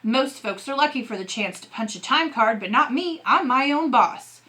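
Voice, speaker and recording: A woman's voice: fairly high pitch, animated, moderate pace, pushed and bright, voice pushed up. A woman voicing a stern, short-tempered, proud father who scolds, in a storybook reading. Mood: angry scolding. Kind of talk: storybook character dialogue.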